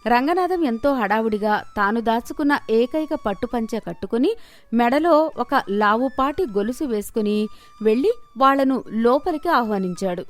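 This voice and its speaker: A woman narrator tells a scripted moral tale. Her voice sits fairly high, with faint music underneath. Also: animated, moderate pace. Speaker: story narrator.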